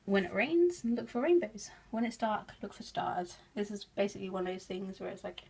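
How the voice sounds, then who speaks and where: A woman's voice: medium pitch, normal speed, vocal fry, warm tone, breathy edge. A woman with an English accent, chatting casually as she shows her craft work, laughing often and joking about herself. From England. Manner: chatty, giggly, self-deprecating. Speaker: craft vlogger. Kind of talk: casual show-and-tell narration.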